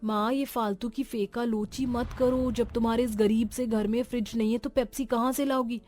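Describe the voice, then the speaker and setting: Woman playing spoiled young daughter, haughty, whiny, sharp-tongued, high pitch, cartoon story. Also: fairly even intonation, moderate pace, soft background music, lightly acted. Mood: scornful.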